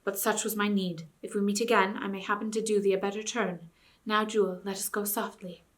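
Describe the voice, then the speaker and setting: A woman's voice: medium pitch, little pitch movement, fairly quick, natural voice. A woman reading aloud, voicing a noble young king who speaks in a stern, courtly, old-fashioned way. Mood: grave.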